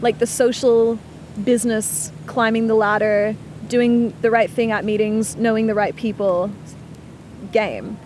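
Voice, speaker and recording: A young woman from Australia, a native French speaker, chatting casually as a podcast guest and laughing through quick tangents. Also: a little high, steady tone, a little mellow. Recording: background noise.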